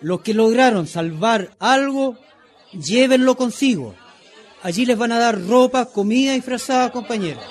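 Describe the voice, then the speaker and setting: A man playing a shantytown leader in a radio drama, loudly addressing his comrades in a declamatory way. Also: very high-pitched, lively intonation, moderate pace, some chatter behind. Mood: urgent, rallying. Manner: loud, declamatory. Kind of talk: radio drama acting, speech to a crowd.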